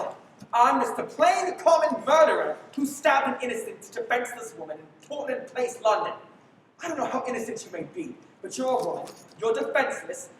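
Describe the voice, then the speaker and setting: A young woman playing a brash, sardonic man on the run in a live radio play, bossy and theatrical. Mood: mocking menace. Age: teens. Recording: echoey hall from far off, faint rumble.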